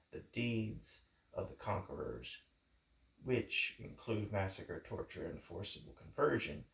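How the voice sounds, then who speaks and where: A man reading a history book aloud in a measured, formal way, with deliberate phrasing. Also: low-pitched, vocal fry, fairly bright tone. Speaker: book reader. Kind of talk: audiobook-style reading of a history book introduction. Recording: echoey room.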